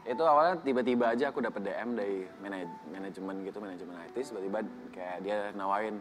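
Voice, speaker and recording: A man in his twenties from Bali, a guest answering questions on a talk show in a relaxed, easygoing way. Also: fairly low pitch, quick pace, faint music behind. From Bali, Indonesia. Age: 20s. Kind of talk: casual talk show interview.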